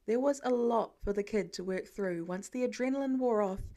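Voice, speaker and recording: Higher-voiced woman narrating a story. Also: a little fast, warm tone. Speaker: fan fiction podfic narrator.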